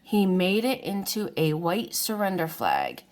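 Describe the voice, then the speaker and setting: A woman reading a children's storybook aloud in an unhurried way, as if to young listeners. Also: lowish voice, huge pitch swings. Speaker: children's book reader. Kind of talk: read-aloud storybook narration.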